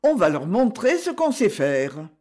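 Casting: Parisian underworld man, hard, mocking, curt slang, husky edge, storybook villainy read by a narrator. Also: mid-range voice, big pitch swings, measured pace, darkish tone. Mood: cocky.

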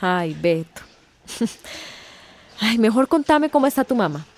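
An actress playing a chatty, affectionate but evasive hostel worker in a radio drama. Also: female voice, a little high, big pitch swings, takes it slowly, full character voice. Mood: warm, flustered. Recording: faint background noise.

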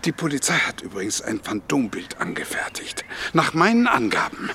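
Excitable older man, swooping pitch. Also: moderate pace, a little hiss or hum, full character voice. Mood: agitated, self-important. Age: older, retired. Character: widowed male pensioner, excitable, fussy, awkwardly smitten. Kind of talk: German radio crime drama acting.

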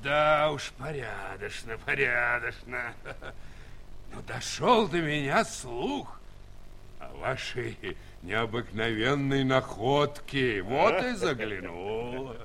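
Folksy old uncle, gravelly, bright forceful voice, slow musing delivery, storybook audio play. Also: male voice, mid-range voice, sing-song, slight background noise, heavily acted. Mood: genial visiting. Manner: rustic, musing, drawling. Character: curious, folksy old uncle, a giant neighbour.

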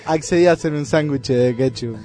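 A man's voice: fairly high pitch, fairly quick, warm tone, soft background music. A man with a Buenos Aires area Argentine accent, chatting casually as a guest in a radio studio. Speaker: studio guest, band member. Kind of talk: casual chat, anecdote.

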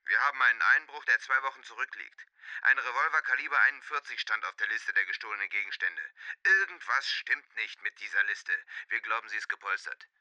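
A businesslike detective in a radio crime play. His mid-pitched voice is very bright and tense as he lays out facts plainly. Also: brisk, dull audio. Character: businesslike male police detective reporting a case. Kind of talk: German radio drama dialogue.

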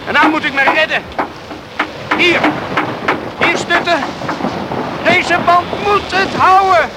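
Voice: extremely high pitch, slow. An actor playing a defiant, frightened boy alone in a storm in a Dutch radio play, exclaiming and talking to himself. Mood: scared, self-steeling. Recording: loud storm wind over the voice.

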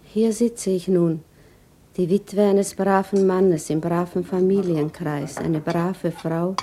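A respectable widowed mother torn by feeling in a 1960s German radio play. Her voice is warm and dark, with slow, earnest, emotional phrasing. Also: fairly low pitch, fairly even intonation, a little hiss or hum. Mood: anguished resolve. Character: troubled, respectable young widowed mother, proud and anguished.

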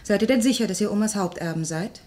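A young woman of medium pitch played by an actress in a radio crime play. She comes across as a direct, wary granddaughter who stands her ground. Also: fast talker, darkish tone, close to an everyday voice. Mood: questioning. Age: young adult. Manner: direct, indignant when pressed. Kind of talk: German radio crime drama acting.

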